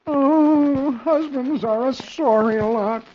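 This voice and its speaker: A man's voice: very high voice, very animated, normal speed, pitched above the performer's own voice. A man voicing a henpecked comic-strip husband who tries to sound stern, with blustering, emphatic delivery. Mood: moaning. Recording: dull old radio recording, clear noise.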